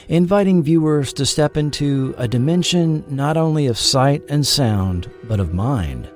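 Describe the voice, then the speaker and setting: A man narrating a scripted documentary in a measured, deliberate way, letting his phrases fall at the end. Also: medium pitch, a little mellow. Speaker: podcast host and narrator. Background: background music.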